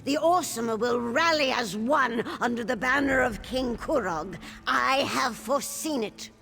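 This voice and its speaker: A woman playing a scheming older orc queen mother in a fantasy video game, cold, condescending and measured, with a gravelly voice. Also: a little high, pushed and bright, heavily acted. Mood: cold resolve. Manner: measured, mocking, menacing. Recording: music playing clearly behind the voice.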